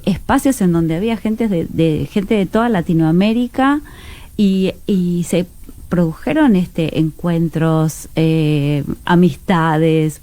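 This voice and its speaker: A woman's voice: fairly low pitch, warm tone. A woman with a Rioplatense Argentine accent, speaking conversationally as a guest in a radio interview.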